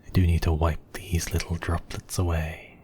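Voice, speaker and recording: A man's voice: low-pitched, completely flat delivery, moderate pace, dark tone, slight background noise, natural voice. A man playing a seductive, obsessive vampire count in an intimate ASMR roleplay, murmuring and often slipping into whispers. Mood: tender, teasing. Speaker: audio roleplay voice actor.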